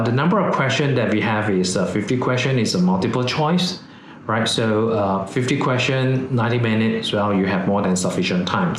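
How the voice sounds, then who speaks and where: Malaysian man, low voice, echoey room. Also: a little hiss or hum. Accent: Malaysian English. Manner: frank and explanatory, many "uh" fillers. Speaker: company CEO presenting training. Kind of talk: live webinar talk.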